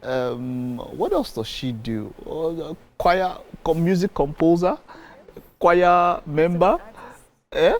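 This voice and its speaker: A man's voice: on the high side, takes it slowly. A Nigerian man with a Nigerian accent, hosting a morning talk show and teasing his guest in a chatty way. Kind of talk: casual talk show interview.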